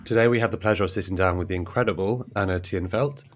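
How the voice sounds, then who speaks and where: A man hosting a podcast interview, effusive and lively in his introductions. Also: deep voice, quick pace, over loud music. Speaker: podcast host.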